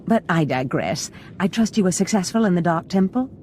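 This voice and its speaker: An actress playing a scheming, gleeful, bookish Sith master in a video game, chatty and theatrical with a purring manner. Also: female voice, lowish voice, lively intonation, fairly quick, darkish tone, slight background noise, close to an everyday voice. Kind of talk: video game cutscene acting.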